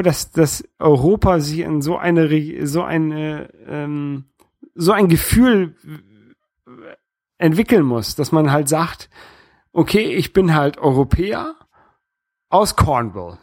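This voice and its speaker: A man from northern Germany with a light North German accent, talking casually about opinions, haltingly and often repeating words as he searches for the next. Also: fairly high pitch, animated, no room sound, clean studio sound. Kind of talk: casual political discussion.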